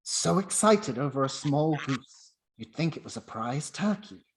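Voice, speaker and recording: A man's voice: fairly high pitch, animated, measured pace, pitched above the performer's own voice, low-quality recording. Guy doing old scrooge type, humbled n earnest, projecting like a radio play. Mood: observing, softened.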